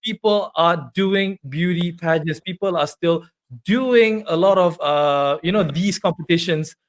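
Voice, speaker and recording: Upbeat male host from Malaysia, high voice, chatty questions. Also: a little slow. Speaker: talk show host. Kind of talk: casual interview chat.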